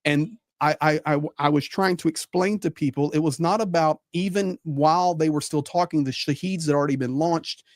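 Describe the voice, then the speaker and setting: A man's voice: a little high, normal speed, few pauses, no echo, studio quality. A man giving conversational live political commentary as a panel guest, repeating phrases for emphasis.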